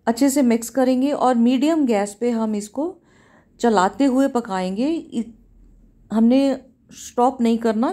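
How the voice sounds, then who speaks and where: A woman cooking-channel host, giving a calm, step-by-step recipe voice-over in a home kitchen. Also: on the high side. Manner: calm, instructive, repeats steps.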